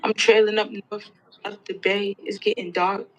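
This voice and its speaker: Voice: medium pitch, level tone, moderate pace, a bit bright, dull audio, a little hiss or hum, close to an everyday voice. An actor as a boatman telling his storm story in an audio play. The delivery is colloquial storytelling, like someone recounting it directly to a listener. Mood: earnest recounting.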